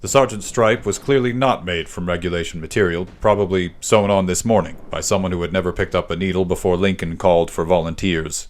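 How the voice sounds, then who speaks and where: A man's voice: deep voice, fairly even intonation, a little fast, few pauses. A man reading a historical war story aloud as scripted dramatic narration for a literary podcast.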